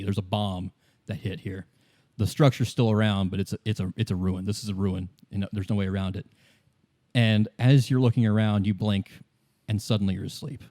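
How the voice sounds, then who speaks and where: A man narrating a tabletop game in the second person as game master, improvising in a halting way and repeating phrases. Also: on the low side, mellow.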